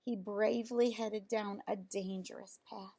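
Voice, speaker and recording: A woman reading a children's picture book aloud in an expressive way, as if to young listeners. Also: medium pitch, unhurried, creaky. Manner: expressive, explains words simply to children. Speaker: storybook reader.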